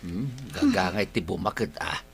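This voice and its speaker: An actor playing an old Filipino husband and father in a radio drama, speaking haltingly with hums and murmurs. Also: low-pitched, huge pitch swings, gravelly, a little hiss or hum, heavily acted. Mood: grumbling.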